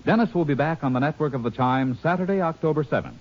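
A man's voice: fairly high pitch, fast. A man reading a network promotion in 1950s radio announcer style. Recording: muffled old radio recording.

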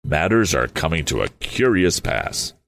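Man reading aloud as a deliberate father-inventor, deep and gravelly. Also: sing-song, fairly bright tone, slight background noise, heavily acted. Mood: calm. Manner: measured, deliberate. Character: steady older male inventor, cautious father.

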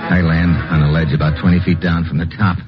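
An actor playing a tough, wry undercover agent, clipped and wisecracking, in 1950s radio noir style. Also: male voice, low voice, level tone, fast talker, faint music behind, lightly acted. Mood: tense narrating. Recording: muffled, noisy old radio recording.

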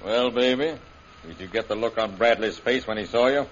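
An actor playing a gloating, swaggering warlord villain in 1930s radio style, with a husky voice and mocking manner. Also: male voice, on the low side, normal speed, a little hiss or hum, natural voice. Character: gloating male warlord villain plotting to rule China. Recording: muffled, dull old radio recording.

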